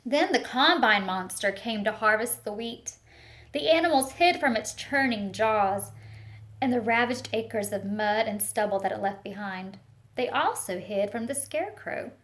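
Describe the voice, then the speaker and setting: A woman reading a picture book aloud to young children, expressive and speaking to them directly with teacher-like questions and asides. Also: medium pitch, measured pace. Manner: expressive storytelling, talks directly to young listeners.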